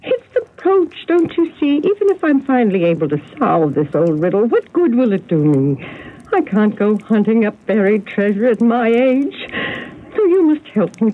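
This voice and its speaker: Animated, pleading elderly woman, old radio. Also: on the high side, moderate pace. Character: anxious, pleading elderly woman hunting a lost brooch. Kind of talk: 1970s radio drama acting. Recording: muffled, noisy vintage radio broadcast.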